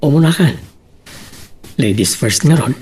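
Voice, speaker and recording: A man's voice: mid-range voice, animated, a little slow, darkish tone, distant mic, slight background noise, lightly acted. A man with an Ilocano accent playing an earnest young suitor in a radio drama. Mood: playful.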